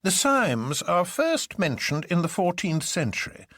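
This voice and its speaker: A man voicing an exuberant, unflappable gentleman in a storybook reading, speaking pompous nonsense with grand deliberation. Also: sits high, big pitch swings, unhurried, dry recording, studio quality, pitched above the performer's own voice, heavily acted. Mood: calm, lofty.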